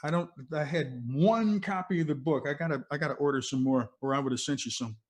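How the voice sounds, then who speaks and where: A man's voice: fairly high pitch, a little fast. Older man, veteran radio DJ chatting casually. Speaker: veteran radio DJ being interviewed. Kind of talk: casual conversational reminiscing. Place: video call from a cluttered room.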